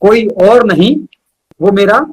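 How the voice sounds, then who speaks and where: High-pitched husky man reciting poetry. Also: slow pace, darkish tone. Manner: repeats lines for emphasis. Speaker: poet, interview guest. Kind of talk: poetry recital with repeated lines and short asides to listeners.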